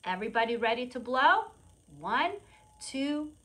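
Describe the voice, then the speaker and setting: A woman presents to preschoolers with a bright, lively voice. She takes her time, explains things in simple, repeated phrases and invites the children to take part. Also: a little high, a little slow. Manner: simple repetitive explanations with questions and prompts for small children; refers to self in third person. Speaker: preschool storytime presenter at a public library. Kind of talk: children's lesson, demonstrating to young viewers at home.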